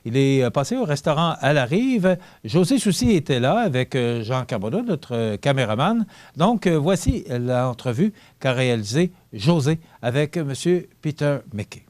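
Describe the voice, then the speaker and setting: A man's voice: mid-range voice, big pitch swings, normal speed, darkish tone, no echo. A man with a Quebec French accent introducing a TV segment casually, with a few fillers. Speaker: TV host.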